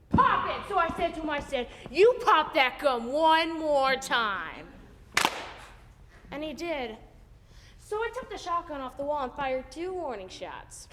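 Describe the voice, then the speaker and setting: A woman delivers a dry, sardonic stage monologue with a high, bright voice that swings widely in pitch. She takes her time with the lines. The sound comes from far back in a large auditorium, with a long hall reverb trailing after her words. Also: noisy background. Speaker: student performer in reader's theater. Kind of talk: stage monologue in a musical scene. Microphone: far room mic.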